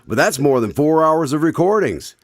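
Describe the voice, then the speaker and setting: A man's voice: a little high, very animated, normal speed, dry recording, studio quality. A man playing a genial, curious radio announcer who hosts an old-time style drama in a courteous, theatrical way. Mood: friendly, curious. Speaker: announcer and host.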